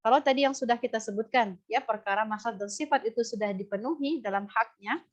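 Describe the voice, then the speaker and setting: A woman's voice: a little high, quick pace. A woman with an Indonesian accent teaching Quran recitation in a live lesson, explaining and demonstrating how sounds are pronounced. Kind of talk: live lesson answering student questions. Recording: dull, lo-fi online audio.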